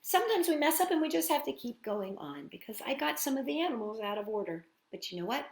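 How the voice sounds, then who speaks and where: A woman hosting a children's storytime, reading and singing in a playful, expressive way with drawn-out words and chatty asides. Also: medium pitch, reverberant. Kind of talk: animated picture-book read-aloud and children's singing.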